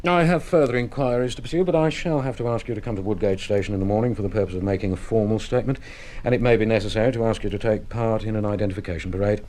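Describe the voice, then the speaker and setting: An actor playing a polite, insistent British police detective sergeant in a radio drama, speaking with formal, measured, hesitant courtesy. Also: male voice, fairly low pitch, darkish tone, lightly acted. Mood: official, firm.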